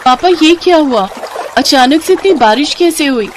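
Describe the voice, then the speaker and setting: A woman playing a worried but trusting young daughter in a dramatised moral story, earnest and expressive. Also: sits high, moderate pace, a little hiss or hum, pitched above the performer's own voice. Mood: alarmed.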